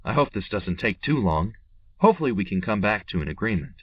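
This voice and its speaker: A man's voice: fairly low pitch, big pitch swings, brisk, heavy background noise. A man playing a weary, reluctant businessman in an old-time radio drama. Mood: uneasy. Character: weary, wry travelling businessman, reluctant and uneasy. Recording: muffled, distant, loud music behind.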